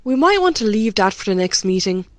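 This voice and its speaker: An Irish woman acting as a helpful member of a rural parish committee in a radio drama. Her delivery is chatty and informative, and her pitch moves around a lot at a middling height. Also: sing-song, a little fast, no echo, lightly acted. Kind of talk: amateur radio drama dialogue.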